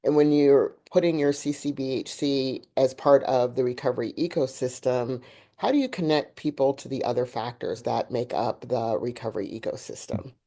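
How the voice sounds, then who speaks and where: A woman hosting a podcast with a deep voice, talking candidly and animatedly through a personal story. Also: moderate pace. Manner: candid, animated, laughs while telling a story. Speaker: podcast host. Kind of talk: conversational interview with personal storytelling.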